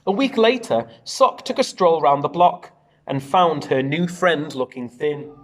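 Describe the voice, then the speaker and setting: A man reads a picture book for young children. His pitch swings widely as he moves through the rhymes in a sing-song teacher's way, breaking off for chatty asides. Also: unhurried. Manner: animated, sing-song rhyming read-aloud. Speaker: primary school teacher reading a storybook.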